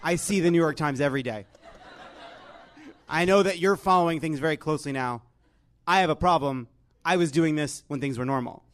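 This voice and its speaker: High-voiced American man hosting comedy. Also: fairly quick, pauses often. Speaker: host of a live comedy panel show. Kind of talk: joking panel chat before a live audience.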